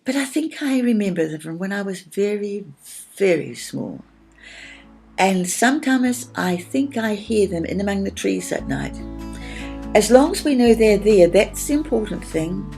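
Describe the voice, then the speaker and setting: A woman playing a kindly, cheerful storybook character who tells tales in a gentle, reassuring way. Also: mid-range voice, animated, unhurried, gravelly, soft background music, pitched above the performer's own voice. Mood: gentle, wistful.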